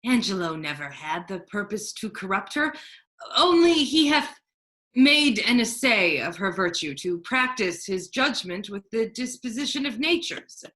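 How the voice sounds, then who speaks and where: A woman playing a disguised duke posing as a grave, counseling friar, in measured, formal Shakespearean verse. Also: medium pitch, very animated, a bit bright. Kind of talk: staged reading of Shakespeare.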